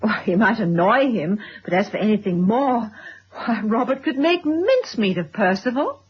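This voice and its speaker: A woman acting a dismissive, unworried confidante in a radio drama, with a husky voice. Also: a little high, big pitch swings, moderate pace, full character voice. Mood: breezy disbelief. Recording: dull, muffled old radio recording.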